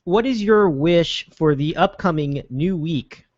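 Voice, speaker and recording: A man hosting a show, reacting warmly and upbeat, often halting and restarting his sentences. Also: a little high, expressive, no room sound. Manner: upbeat, affirming, halting with fillers. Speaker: host. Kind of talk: casual chat. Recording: dull, dry lo-fi sound.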